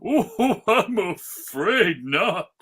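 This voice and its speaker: Guy doing cartoon santa, old and worried, slow and swoopy, kinda high voice. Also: sing-song, sharp tone, breathy edge, full character voice. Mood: resigned, rueful. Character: jolly but worried old Santa Claus. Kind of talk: live dramatic table read of a cartoon.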